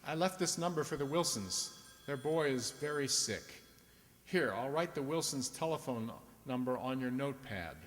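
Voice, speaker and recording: A man playing a kindly, earnest doctor in a radio drama, speaking in a halting, pleading manner. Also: medium pitch, animated, lightly acted. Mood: gentle, apologetic. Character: earnest, kindly, urgent male family doctor. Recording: reverberant hall.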